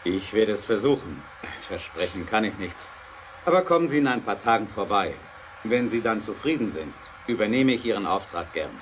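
A man's voice: on the low side, lively intonation, close to an everyday voice. Earnest inventor over noisy ambience, acted by a man. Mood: modest, hesitant. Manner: earnest, emphatic, halting when explaining. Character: determined, idealistic male inventor and engineer, earnest and passionate. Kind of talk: German audio drama acting.

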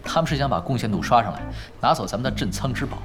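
A male actor voices a shrewd young pro gamer in an audio drama. He talks casually and without hurry, with a wry edge and a very varied melody at a middling pitch. Music plays clearly behind him. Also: animated, very fast, lightly acted. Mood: calm scheming.

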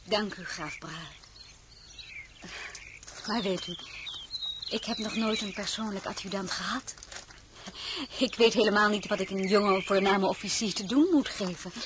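Poised, gently wry royal lady played by a woman. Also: medium pitch, a little slow, long pauses, close to an everyday voice. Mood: gracious, wry. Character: gracious wife and mother, newly made royal highness. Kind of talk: radio drama acting. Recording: background noise with outdoor birdsong.

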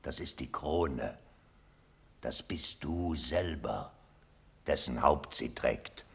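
Impassioned old colonel, wide-swinging delivery. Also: male voice, very deep voice, animated, slow pace, vocal fry, full character voice. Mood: grave, pleading. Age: older. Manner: declamatory, impassioned, swinging from hushed to forceful. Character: old loyal cavalry colonel, bluntly passionate. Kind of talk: classical radio drama verse acting.

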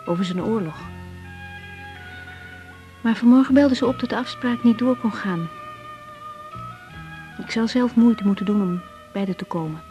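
An actress plays a young woman doctor in a radio drama. Her voice sits in a middle register, gentle and considerate, earnest as if thinking aloud. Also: mid-range voice, moderate pace, long pauses, soft background music, natural voice, poor audio. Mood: reflective. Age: young adult. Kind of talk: radio drama dialogue and diary-like narration.

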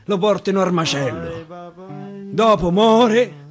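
A man's voice: high-pitched, animated, takes it slowly. A man reciting dialect verse dramatically on radio, musing and repeating phrases for emphasis. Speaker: actor. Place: radio studio. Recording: guitar accompaniment throughout.

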